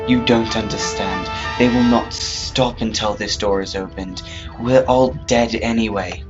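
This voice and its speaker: A man plays a desperate adventurer in an audio drama. His voice is grim and fairly low. The sound is dull, with music clearly behind. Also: steady tone, a little slow, lightly acted. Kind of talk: fan audio drama acting.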